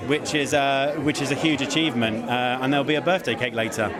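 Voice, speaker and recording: A man's voice: mid-range voice, fairly even intonation, brisk. A man, an awards show producer, talking in a casual interview, chatty with frequent restarts and laughs. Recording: busy crowd behind.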